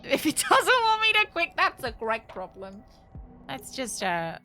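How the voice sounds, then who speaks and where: A woman's voice: high-pitched, huge pitch swings, unhurried, sharp tone, soft background music. A woman chatting casually as she streams a game, thinking aloud with wry, joking asides. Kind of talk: casual live gaming commentary.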